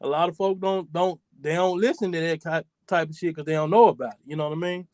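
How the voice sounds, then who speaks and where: A man's voice: on the high side, steady tone, fast talker, darkish tone, no room sound, clean studio sound. A man in his forties hosting a podcast, talking in a loose, profane, rambling way. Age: 40s. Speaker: podcast host. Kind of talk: casual chat.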